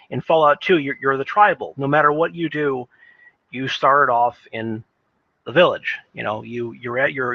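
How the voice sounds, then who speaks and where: A man giving unscripted opinion commentary as a video game commentator, often halting and restarting his phrases. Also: a little high, bright, forceful tone, no echo, highs cut off.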